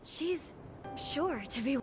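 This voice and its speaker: A woman's voice: high-pitched, expressive, slow, faint music behind. A woman playing a haughty, overprotective noble sister in a video game cutscene, speaking in a formal, dramatic manner. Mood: faint, hesitant.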